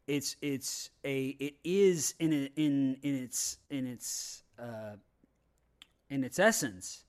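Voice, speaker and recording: Halting, rambling man talking off the cuff. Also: medium pitch. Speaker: podcast panelist. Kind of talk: casual opinionated talk.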